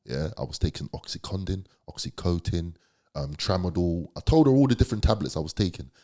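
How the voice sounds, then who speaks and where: Male podcast guest, London accent, deep low voice, slangy and emphatic storytelling. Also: big pitch swings, brisk, mellow. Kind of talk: candid storytelling.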